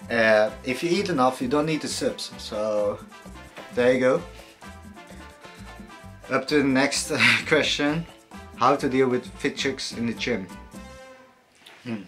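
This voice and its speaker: A young man answering viewer questions in a casual fitness vlog, relaxed and hesitant, with many fillers and some casual swearing. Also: medium pitch, takes it slowly, pauses often, soft background music. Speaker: fitness vlogger.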